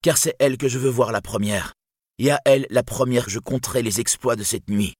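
Man voicing a proud, wrathful wounded warrior, husky. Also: on the low side, normal speed, dry recording, clean studio sound. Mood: indignant, demanding. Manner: declamatory, indignant, imperious. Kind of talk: dramatic fantasy storybook reading.

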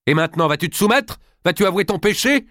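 A man's voice: sits low, very animated, fast talker, a bit bright, husky, no room sound, clean studio sound, heavily acted. A man voicing a stern, imperious medieval noblewoman mother, scolding and commanding, in an audiobook. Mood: angry, commanding. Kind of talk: dramatised audiobook dialogue.

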